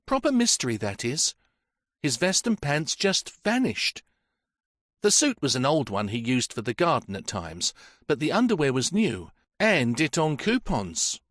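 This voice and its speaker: A man's voice: on the high side, wildly swooping pitch, measured pace, fairly bright tone, no echo, pitched above the performer's own voice, heavily acted. A talkative old housekeeper woman, as a male reader puts her on, chattering and gossiping about the household. Her voice sits well above a man's and jumps about animatedly. It is recorded dry and close. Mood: gossipy.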